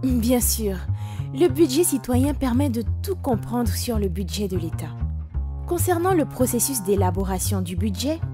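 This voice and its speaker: A woman's voice: a little high, expressive, normal speed, over music, close to an everyday voice. A woman playing a polite, helpful information officer who explains precisely and formally in an educational cartoon. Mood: courteous. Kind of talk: scripted explanation in animated educational film.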